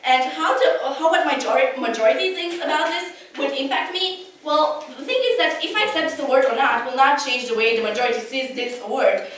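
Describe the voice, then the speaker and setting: A young woman with a high voice delivers a competitive debate speech in English, which is not her first language. She is earnest and often restarts her sentences. The mic sits far from her, so her voice rings around a large, echoey hall. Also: a little fast, few pauses, a bit bright, slight background noise.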